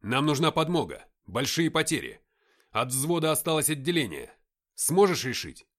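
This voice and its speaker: Man acting a gruff army captain barking loudly. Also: fairly high pitch, very animated, normal speed. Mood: firm, urgent. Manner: barking, forceful, blunt. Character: gruff, commanding army captain shouting orders in battle.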